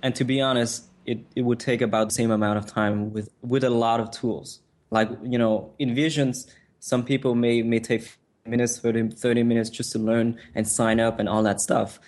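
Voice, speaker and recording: A man whose first language is French, answering interview questions in a quick, conversational way with frequent restarts. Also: medium pitch, level tone, a little mellow. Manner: fast, fluid, with frequent restarts and "like". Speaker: author and designer, interview guest.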